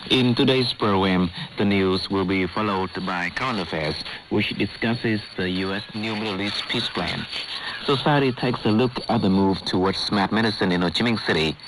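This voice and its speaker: A man presenting a radio news program, reading a formal script in a measured way over a shortwave broadcast with static. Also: lowish voice.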